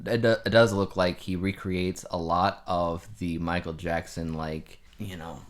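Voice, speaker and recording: A man's voice: low voice, flat delivery, measured pace. A middle-aged man co-hosting a podcast in casual conversation. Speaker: podcast co-host. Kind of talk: casual chat.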